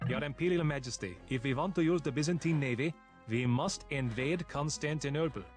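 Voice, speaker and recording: A man's voice: a little high, fairly quick, soft background music, natural voice. Man playing an earnest, urgent military adviser. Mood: urgent counsel. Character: earnest military adviser addressing his emperor. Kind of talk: game voice acting.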